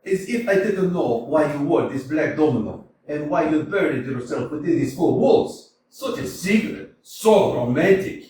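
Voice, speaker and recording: Man playing hot-tempered landowner, blustering, high lively voice, distant mic. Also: moderate pace, few pauses, a little hiss or hum, natural voice. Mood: mocking. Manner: blustering, theatrical, shouting bursts. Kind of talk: staged reading of a stage play. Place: small community theater. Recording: echoey room, far from mic.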